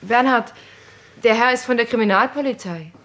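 An actress playing an anxious, fussing wife of a suspect in a radio crime drama, protective and fretful. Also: female voice, fairly high pitch, normal speed, a bit bright, lightly acted.

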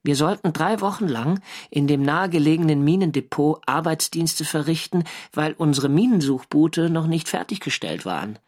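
An actor playing a wry First World War sailor narrating his war diary in measured, clearly articulated German radio drama style. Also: fairly high pitch, lively intonation, darkish tone. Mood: dry recounting.

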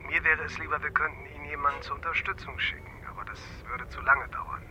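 A man playing a brisk, sceptical newspaper editor in a radio drama, speaking over a telephone. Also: on the high side, pushed and bright. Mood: brisk, firm. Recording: noisy long-distance phone line.

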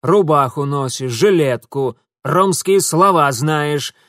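Man doing a loud wheedling Roma vagabond. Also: fairly high pitch, takes it slowly, dry recording, clean studio sound. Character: wheedling cunning male Roma vagabond, young.

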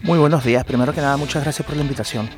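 A man's voice: mid-range voice, very fast, over music. A man, a guest entrepreneur in a radio interview, explaining earnestly with frequent fillers. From Venezuela.

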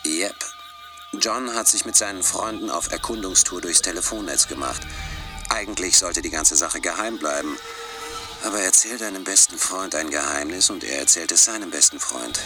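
Actor as a deep-voiced, assured hacker over music. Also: male voice, normal speed, lightly acted. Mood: wry. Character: worldly, principled male hacker recounting phone phreak lore. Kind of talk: storytelling in a radio docudrama. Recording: loud music bed behind voice.

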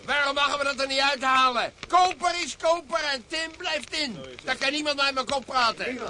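An actor playing an indignant, aggrieved out-of-work miner in a radio play, protesting plaintively. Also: male voice, very high-pitched, moderate pace, bright, forceful tone, exaggerated voice.

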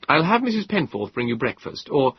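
A man voicing a young, cheerful and stubborn male inventor with a bright, brisk manner. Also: mid-range voice, very animated, creaky, slightly rough, lo-fi sound, voice pushed up, full character voice.

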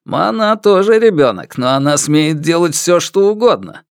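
A man's voice: on the high side, animated, moderate pace, clear, resonant tone, no room sound, clean studio sound, voice pushed up. Man acting a defensive, shifty, indignant family man. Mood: dismissive. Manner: indignant, evasive, bargaining. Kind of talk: audiobook character acting.

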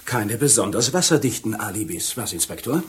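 An actor playing an indignant, sarcastic gentleman under suspicion in a German radio crime drama, haughty and pointed. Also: lowish voice, big pitch swings, a little fast, a little hiss or hum.

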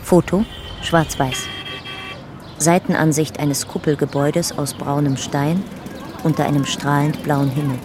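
A woman narrator reading scripted descriptions in a sober, measured, matter-of-fact documentary manner. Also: sits low, fairly even intonation, background noise.

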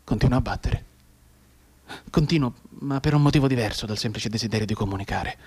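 An actor playing a desperate bedridden mute soldier's inner thoughts in a theatrical radio monologue, halting and repetitive, with volatile emotion and breathy delivery. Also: male voice, mid-range voice, darkish tone. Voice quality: husky, breathy, often dropping to a whisper.

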